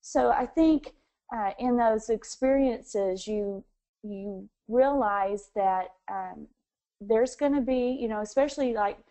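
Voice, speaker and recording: Mellow-voiced woman from Texas. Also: fairly high pitch, slow. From Texas, USA. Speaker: interview guest, former association president. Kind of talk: conversational phone interview.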